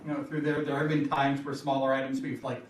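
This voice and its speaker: Man, school official, casual halting talk, echoey distant room. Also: medium pitch, little pitch movement. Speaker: school superintendent. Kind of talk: explaining at a meeting. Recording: distant echoey room mic, faint noise.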